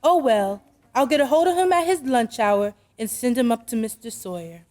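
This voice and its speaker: A woman plays a store executive in an old-time radio drama. Her voice is high-pitched. She comes across as earnest, anxious and businesslike. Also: expressive, normal speed, a little mellow, natural voice. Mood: surprised.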